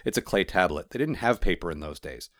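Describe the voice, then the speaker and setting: A man voicing a patient, tender woman who explains things in a measured way. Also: sits low, animated, a bit bright, no room sound, clean studio sound. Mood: patient, explaining.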